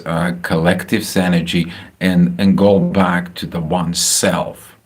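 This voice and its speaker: A man with a Bulgarian accent talking casually about astrology on a livestream, halting with many filler sounds and restarts. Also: sits low. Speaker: astrology channel host. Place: home, house in Spain.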